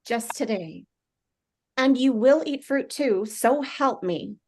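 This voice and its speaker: A woman's voice: on the high side, lively intonation, takes it slowly, a little mellow, slight background noise. A woman reading the part of a wry wife and mother in a drama table read. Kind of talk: scripted drama read aloud.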